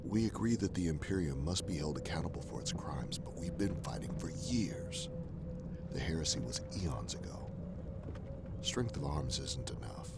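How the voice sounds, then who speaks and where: A gravelly, ancient, weary traitor. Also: male voice, a bass voice, expressive, moderate pace, heavily acted. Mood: persuasive. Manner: persuasive, weary, often hushed. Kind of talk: audio drama acting. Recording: loud music underneath.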